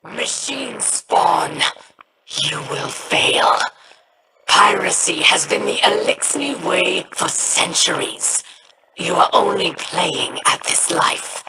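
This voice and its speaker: A woman's voice: fairly low pitch, lively intonation, slow pace, background noise. An actress voicing a contemptuous alien pirate queen in a video game, with a cold, scornful manner.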